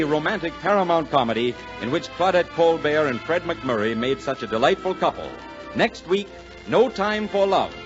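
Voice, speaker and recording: A man reading scripted 1940s radio announcements in a polished, formal, promotional manner. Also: fairly high pitch. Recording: muffled 1940s radio recording. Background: music.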